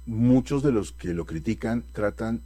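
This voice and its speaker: A man's voice: on the low side, animated, unhurried, dark tone. A man working as an interviewer, putting probing questions and interjecting in a conversational way.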